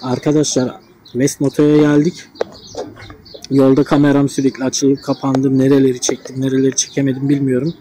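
A man's voice: medium pitch, completely flat delivery, fairly quick. A man giving casual motorcycle vlog commentary as the host, recorded on an action camera. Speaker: motovlogger, host. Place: outdoors among parked motorcycles and on the road. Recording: noisy action camera recording, street and traffic.

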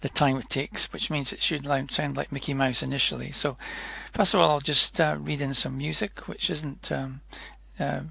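A man narrating an informal technical tutorial in a hesitant, halting way, often correcting himself as he explains. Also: mid-range voice, little pitch movement, gravelly, bright, forceful tone, husky. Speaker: software demonstrator.

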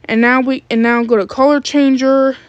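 A woman giving a step-by-step app tutorial in a halting way, searching for words. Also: high voice, steady tone, smooth, no echo, highs cut off. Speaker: tutorial creator.

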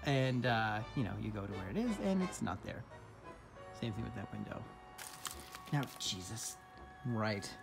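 A man in his thirties hosting a casual vlog tour, talking in a halting, playfully dramatic way into a handheld phone. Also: fairly low pitch, sing-song, vocal fry, music in the background. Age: 30s. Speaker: vlog host. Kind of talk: casual first-person video tour. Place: at home indoors, then outdoors and inside an old barn. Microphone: handheld phone at arm's length.

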